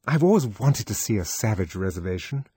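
A man's voice: lowish voice, very animated, brisk, mellow, slightly rough, dry recording, clean studio sound, heavily acted. A man voicing a breezy, teasing young woman in an audiobook reading.

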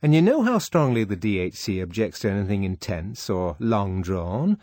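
A man's voice: on the low side, very animated, normal speed, darkish tone, no room sound. A man reading an audiobook voices a prim, disapproving young woman who scolds her friend with fussy, mock-shocked emphasis. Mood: scolding. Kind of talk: audiobook character voice.

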